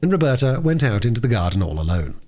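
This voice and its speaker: A man reads a children's storybook aloud. His mid-pitched voice swings widely and expressively as he narrates. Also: sing-song, fast talker, a little mellow. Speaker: audiobook reader.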